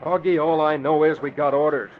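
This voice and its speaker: A man's voice: a little high, steady tone, brisk, lightly acted. A man playing a no-nonsense smuggler boatman, terse and urgent, in an old radio drama. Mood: tense, insistent. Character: gruff, no-nonsense smuggler boatman following orders. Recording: dull, noisy vintage radio recording.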